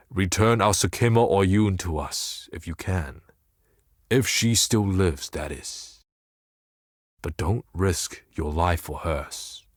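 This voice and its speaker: Brooding desert mystic for a fantasy game: deep, portentous, theatrical delivery, very dry close sound. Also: male voice, no echo, exaggerated voice. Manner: portentous, measured, ornate speech. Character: cryptic male desert seer, brooding, solemn and faintly mocking. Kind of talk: theatrical video game character dialogue.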